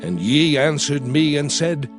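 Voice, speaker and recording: A man's voice: fairly high pitch, animated, unhurried, music in the background. An actor playing a commanding prophet who addresses his people in solemn, declamatory dramatized scripture. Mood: earnest, solemn. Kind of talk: dramatized scripture oration, King James English.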